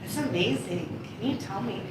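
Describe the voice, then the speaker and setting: Woman interviewer, encouraging, lowish voice, far from the mic in a super echoey room. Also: lively intonation, moderate pace. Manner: encouraging, affirming. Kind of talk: oral history interview. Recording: very echoey, distant, noisy room.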